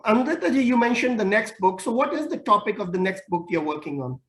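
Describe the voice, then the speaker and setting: A man's voice: sits high, sing-song, fast, a bit bright. A man hosting an interview with an Indian accent, asking brief and polite questions. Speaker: host. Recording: roomy echo.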